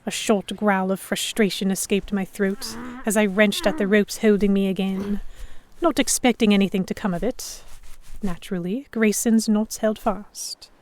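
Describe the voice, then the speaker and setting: Woman narrating a dramatic story, mid-pitched. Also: fairly even intonation, moderate pace, a little mellow, a little hiss or hum. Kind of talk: first-person dramatic audiobook narration.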